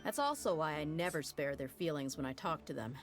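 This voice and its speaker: A woman's voice: low voice, a little fast, music in the background. A voice actress playing a blunt, confident female mercenary leader who speaks frankly and steadily. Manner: frank, self-assured and steady. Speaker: game character.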